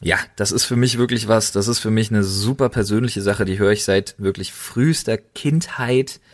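A man with a fairly low voice and a German accent co-hosts a casual chat podcast. He talks in loose, colloquial language and leans on repeated intensifiers to stress his enthusiasm. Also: normal speed. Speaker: podcast co-host.

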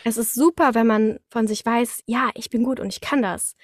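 A young woman chatting casually as a podcast guest, telling things in an expressive, anecdotal way. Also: a little high, normal speed, no echo, clean studio sound. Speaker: interview guest, voice actor.

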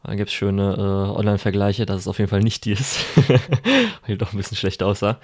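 A man with a lowish voice co-hosts a casual podcast chat. He rambles and hesitates, often stopping to fill the gaps and start his sentences over. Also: level tone, a little fast, a little mellow. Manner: rambling, hesitant, many fillers and restarts. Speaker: podcast co-host.

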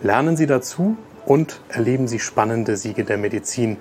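A man's voice: mid-range voice, animated, moderate pace, a little mellow, a little hiss or hum. A man giving a scripted, formal promotional presentation as a doctor, addressing the listeners directly.

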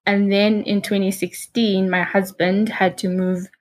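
A woman, a hotel marketing manager, answering interview questions in a steady, measured way with frequent ums. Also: mid-range voice. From South Africa.

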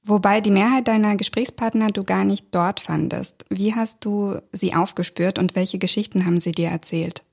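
Woman podcast host from Kazakhstan, husky gravelly voice, smooth and thoughtful delivery. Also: medium pitch, steady tone, moderate pace, few pauses. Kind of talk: hosting a podcast interview. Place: room at a language institute.

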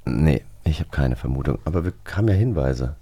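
A man's voice: rumbling low, expressive, fairly quick, warm tone, slightly rough. A man hosting a podcast, chatting casually as he interviews and runs a quiz. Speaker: podcast host.

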